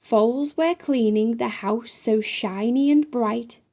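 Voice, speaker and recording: High, mellow woman reading a children's story. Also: slow. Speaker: storytime reader. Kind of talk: children's picture book read-aloud in rhyme.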